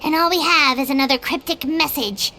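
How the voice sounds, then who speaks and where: A woman voicing a tiny excitable girl companion in a video game, bubbly and chatty, referring to herself in the third person. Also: high voice, brisk, slight background noise. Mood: chirpy. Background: game music.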